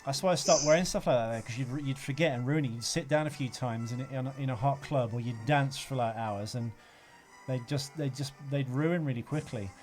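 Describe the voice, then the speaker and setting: A man hosts a casual film podcast with a teasing, sweary streak. His voice sits at an ordinary male pitch, and faint music plays behind him. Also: brisk, a little mellow. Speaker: podcast host. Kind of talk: casual banter about a film.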